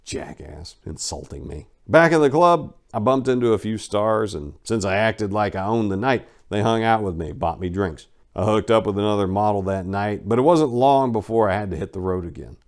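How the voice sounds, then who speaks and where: A man's voice: on the low side, lively intonation, moderate pace, hardly any pauses. A man reading his own book as a dramatic first-person narration, with a colloquial, cocky storytelling manner.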